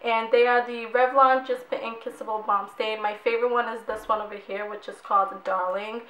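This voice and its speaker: Hoarse young woman chatting about makeup. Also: on the high side, steady tone, brisk, dull audio. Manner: chatty, rambling, repeats words for emphasis. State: hoarse, losing her voice. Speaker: beauty vlogger. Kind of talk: casual product review. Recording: echoey room.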